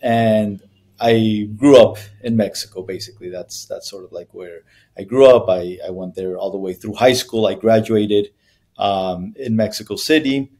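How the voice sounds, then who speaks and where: A man's voice: fairly low pitch, moderate pace, a little mellow. A man in his thirties from Colombia, a company founder answering interview questions on a video call, thoughtful and full of hesitations and restarts. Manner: thoughtful, many fillers and restarts. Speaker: guest, brand founder. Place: small room with bare wall.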